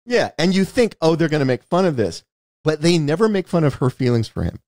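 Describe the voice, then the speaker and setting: A man's voice: on the high side, very animated, a little fast, a little mellow, no echo, clean studio sound. A man hosting a podcast, talking through a film in an emphatic, explanatory way and often checking in with "right?". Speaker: podcast host. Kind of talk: conversational film analysis.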